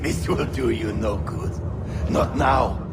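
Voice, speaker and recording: An actor playing an arrogant, taunting villainous captain in a cinematic video game, with theatrical delivery. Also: male voice, medium pitch, slow, very noisy, lightly acted. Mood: sly, grudging. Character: arrogant, sneering villainous Templar captain commanding soldiers.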